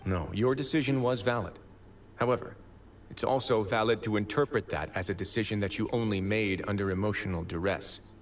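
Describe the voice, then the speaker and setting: A man playing a composed, stern and protective prison warden in an anime game, speaking in a measured, deliberate way. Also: lowish voice, a little hiss or hum, natural voice. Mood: earnest.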